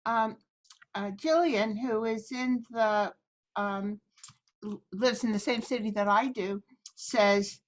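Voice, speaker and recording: A woman's voice: a little high, slow. A woman on an online panel reading out an audience question, hesitant, with many fillers. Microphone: video call.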